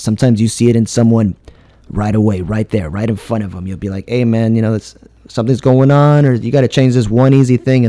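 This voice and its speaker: A man's voice: medium pitch, mellow, no echo. A man hosting a podcast interview in a casual way, affirming his guest and asking open questions. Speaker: podcast host.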